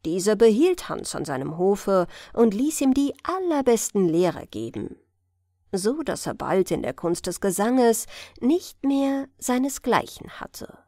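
A woman narrating a fairy tale as a gentle bedtime audiobook reading. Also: mid-range voice, sing-song, no room sound, studio quality. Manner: slow, melodic storytelling.

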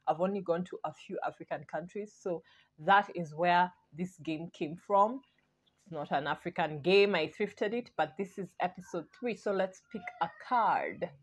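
Young woman, from Kenya, lowish voice, casual vlog, thinks aloud, bedroom, close camera mic. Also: moderate pace, husky. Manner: chatty, repeats "basically", thinks aloud. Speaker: vlogger. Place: bedroom, indoors.

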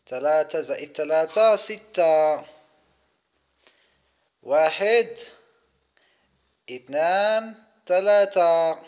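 A man hosting a children's gaming video, giving simple commentary in short, clear-cut phrases for young viewers. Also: high-pitched. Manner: counts aloud, speaks in short simple phrases.

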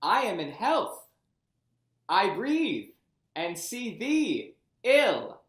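A man's voice: sits high, wildly swooping pitch, extremely slow, a bit bright, heavily acted. A man playing a scornful young king in a Shakespeare reading over a video call. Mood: mocking. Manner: cold, then furious. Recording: echoey room.

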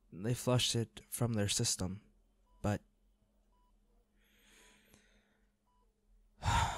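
A man playing a devoted, anguished boyfriend in an intimate audio roleplay, pleading and halting with emotion. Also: medium pitch, a little mellow, no echo. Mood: quiet, guilt-ridden. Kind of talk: intimate dramatic audio roleplay, one-sided dialogue.

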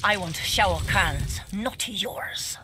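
A woman playing a cold, haughty, devoted female assassin in a fighting video game, speaking in measured lines. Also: medium pitch, lively intonation, pushed and bright, slight background noise, exaggerated voice. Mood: cold defiance. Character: cold, devoted blood-mage assassin woman serving an emperor.

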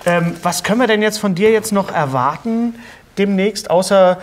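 Higher-voiced, joking German talk show host, male. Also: normal speed, a little hiss or hum. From Germany, grew up around Bottrop. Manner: joking, chatty. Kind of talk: casual humorous interview. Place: small indoor talk-show studio.

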